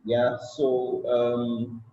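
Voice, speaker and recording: A man from Nigeria with a Nigerian accent giving a tech presentation, unhurried and filling pauses with many ums. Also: medium pitch, monotone, dark tone. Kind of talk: conference presentation. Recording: roomy, slightly dull sound.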